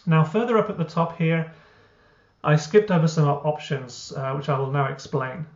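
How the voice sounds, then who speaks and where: A man, the founder of a language app, walking through his software step by step in an explanatory way, with frequent uh and um. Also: on the high side, normal speed. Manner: explanatory, many uh and um fillers. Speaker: software founder giving a tutorial. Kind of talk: screen-recorded software walkthrough. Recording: roomy, dull lo-fi.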